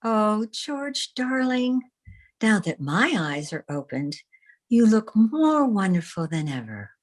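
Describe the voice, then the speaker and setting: A woman playing a sharp, self-assured lady publisher from an old-time radio comedy. She takes her time over the words and swings her pitch expressively. The delivery is teasing and theatrical. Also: big pitch swings, slow pace. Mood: mock adoring.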